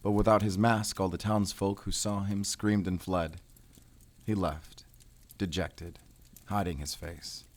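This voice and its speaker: A man playing a grim, determined werewolf hunter in an audio drama, measured, brooding and terse. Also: low voice, steady tone. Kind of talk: cinematic audio drama acting.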